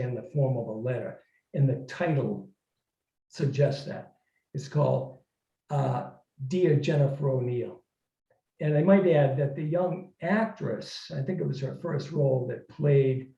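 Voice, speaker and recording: A man speaking as an author at a literary reading, in a reflective, halting manner. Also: medium pitch, mellow. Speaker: author reading and discussing own work. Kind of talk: informal literary talk and story reading to an audience. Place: echoey room, recorded from a distance. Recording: muffled, echoey room, distant microphone.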